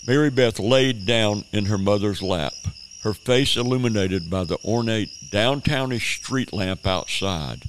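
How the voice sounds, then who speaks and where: A man narrating a radio drama in a measured, even storytelling manner. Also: fairly low pitch, slight background noise. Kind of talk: scripted narration.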